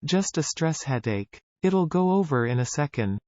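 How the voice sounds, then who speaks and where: An actor playing a troubled research subject in an analog horror drama, recounting childhood memories in a solemn, deliberate way. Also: male voice, on the high side, sing-song, darkish tone, no echo, muffled recording. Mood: strained. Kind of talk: analog horror found-footage acting, narrative monologue.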